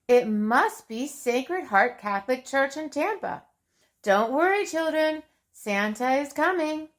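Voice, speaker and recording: A woman's voice: sits high, animated, takes it slowly. A woman reading aloud as Santa Claus, a cheerful, reassuring old man in a storybook. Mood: excited, cheerful.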